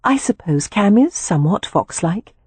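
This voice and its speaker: A woman's voice: medium pitch, big pitch swings, normal speed, a little mellow, husky, dry recording, voice pushed up. A woman voicing a young woman who is the amused, lightly teasing older sister.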